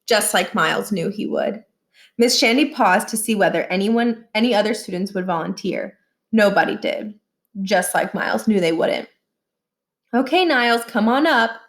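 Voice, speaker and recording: A woman narrates a children's novel as a read-aloud. She tells the story in a medium-pitched voice and acts out the characters' dialogue. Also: creaky. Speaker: reader of a children's book. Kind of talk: read-aloud storytelling with brief explanatory asides.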